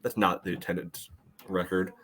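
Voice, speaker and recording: A man co-hosting a sports podcast, chatting casually with halting phrasing full of filler words. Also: sits low, little pitch movement, fairly bright tone. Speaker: podcast co-host.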